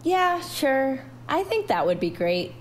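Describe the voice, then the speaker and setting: A woman voicing a thoughtful, anxious daughter, quiet and hesitant, in a narrated story. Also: sits high, sing-song, takes it slowly, a little hiss or hum, voice pushed up, heavily acted. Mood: quiet, evasive.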